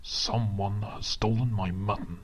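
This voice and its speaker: Man playing a low, melodramatic noir everyman. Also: steady tone, unhurried. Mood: pleading. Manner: dramatic, brooding. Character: melodramatic hard-boiled London everyman, exasperated client of a detective. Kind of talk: film-noir parody narration and radio play acting.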